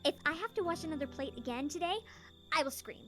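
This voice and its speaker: High-voiced, sassy young little sister. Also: female voice, quick pace, soft background music. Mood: weary. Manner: casual, sassy, expressive. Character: spirited teasing little sister, young woman. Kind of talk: video game voice acting.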